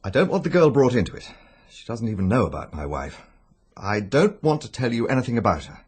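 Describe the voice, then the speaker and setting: A man's voice: mid-range voice, sing-song, brisk, a little mellow, breathy edge. An actor playing an uneasy, reserved British husband in a radio drama, guarded and hesitant in his speech. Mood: guarded, aggrieved.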